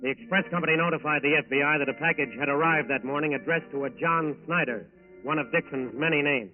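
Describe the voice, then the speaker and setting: High-pitched male 1940s radio narrator. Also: fast, soft background music. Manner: dramatic, formal. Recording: muffled old radio broadcast recording.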